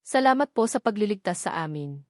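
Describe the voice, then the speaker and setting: A woman's voice: medium pitch, big pitch swings, brisk, no echo, studio quality, lightly acted. Woman narrator as emotional young Filipino mother. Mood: soft, respectful. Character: worried, soft-hearted young mother, tearful and polite.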